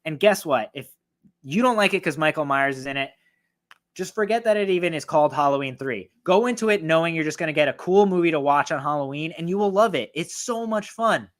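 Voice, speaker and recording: A man with a voice going hoarse, excitedly and profanely ranking horror films in a rambling, casual way. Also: a little high, brisk. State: losing his voice, hoarse. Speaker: horror film reviewer. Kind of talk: casual film ranking talk.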